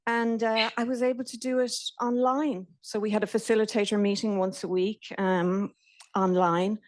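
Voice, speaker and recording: A woman's voice: medium pitch, flat delivery, normal speed, a little mellow. A middle-aged Irish woman giving a nervous, chatty talk to a live audience, with fillers and restarts. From Ireland. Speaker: speaker. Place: event venue in a company office.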